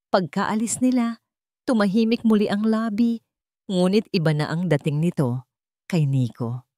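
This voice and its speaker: A woman's voice: fairly low pitch, dark tone, no room sound, studio quality. A woman with a Filipino accent narrating a scripted dramatic story.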